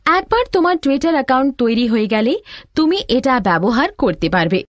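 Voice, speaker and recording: A woman plays a patient elder sister teaching in an educational cartoon. Her voice is high and swings melodically. The narration is scripted and didactic, in a dry recording. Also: animated, moderate pace, no echo. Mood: instructive. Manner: didactic, step-by-step.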